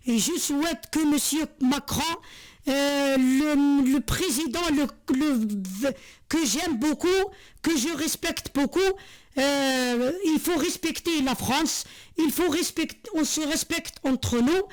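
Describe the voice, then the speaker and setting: Woman, high voice, sincere vlog monologue, halting and repetitive. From Algeria. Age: 40s. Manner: earnest, repetitive, many hesitations and restarts. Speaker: vlogger. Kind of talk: heartfelt monologue to camera.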